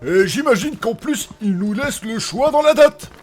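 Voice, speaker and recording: A man's voice: very high-pitched, animated, moderate pace, darkish tone, faint music behind. A man playing a cheeky, wisecracking adventurer in a comic fantasy audio drama. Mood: deadpan punning.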